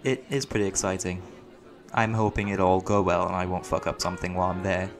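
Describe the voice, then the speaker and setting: A man's voice: sits low, steady tone, brisk, over music, crowd noise. A man playing a young student doctor with a secret life as a lawman, in an audio roleplay. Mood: friendly, curious. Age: young adult.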